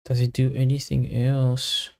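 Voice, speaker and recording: A man on a casual coding livestream, thinking aloud in halting, muttered fragments as he works. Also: medium pitch, monotone, creaky, darkish tone. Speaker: developer live-streaming coding.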